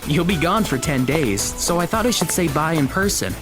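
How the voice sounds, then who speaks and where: Low-voiced, lively, easygoing teenage boy, played by a woman. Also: moderate pace, music in the background. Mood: shy, good-natured. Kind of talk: audio drama acting.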